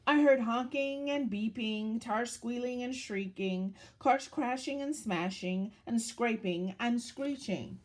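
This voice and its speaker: A woman reading a children's picture book aloud in a dramatic, deliberate storytelling manner, as if to young listeners. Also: on the high side.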